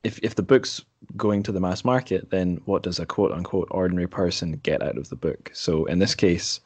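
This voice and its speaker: A man hosting a conversational interview, speaking in a measured way and pausing to form his thoughts. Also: low voice, breathy edge, no room sound. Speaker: podcast host, interviewer. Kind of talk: conversational book discussion.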